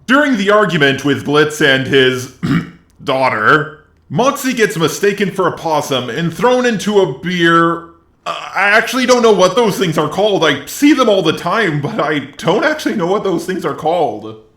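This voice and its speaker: A man's voice: fairly high pitch, sing-song, moderate pace, fairly bright tone, echoey room. A man's synthetic robot voice narrating a scripted review with sarcastic, profane asides. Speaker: video reviewer.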